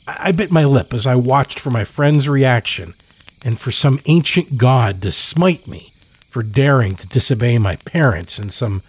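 A man's voice: mid-range voice, breathy edge. A man reading scripted narration as a storyteller and podcast host.

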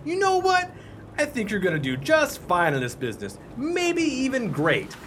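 Man as cheery veteran salesman, high-pitched, swooping delivery, cajoling, radio comedy play, faint noise. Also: wildly swooping pitch, normal speed, fairly bright tone, full character voice. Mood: gleefully upbeat. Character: relentlessly upbeat, wheedling veteran ice cream salesman.

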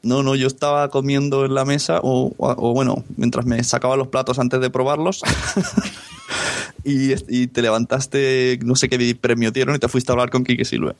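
A man's voice: medium pitch, steady tone, moderate pace, no echo. Rambling man, dry sound. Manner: musing, rambling, chuckling. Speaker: podcast host. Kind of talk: casual interview chat.

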